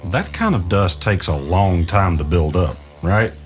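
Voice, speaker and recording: Actor as low-voiced, cautious, easygoing man. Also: lively intonation, unhurried, faint music behind. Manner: hesitant, questioning, plainspoken. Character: cautious, skeptical, easygoing small-town man. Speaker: character in a mystery audio drama. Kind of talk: naturalistic conversational audio drama dialogue.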